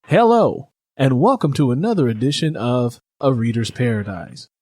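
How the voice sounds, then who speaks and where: A man's voice: mid-range voice, animated, normal speed, a little mellow, no room sound, faint music behind. A middle-aged American man hosting a podcast and interviewing an author.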